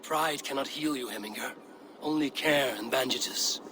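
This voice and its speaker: An actor playing a calm, earnest Norse clan leader. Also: male voice, mid-range voice, normal speed, natural voice. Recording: game audio with ambient noise.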